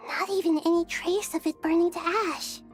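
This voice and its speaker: A woman voicing a gentle, thoughtful, childlike girl in a video game, serene and wise, with measured phrasing. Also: very high-pitched, steady tone, soft background music. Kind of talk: video game character acting.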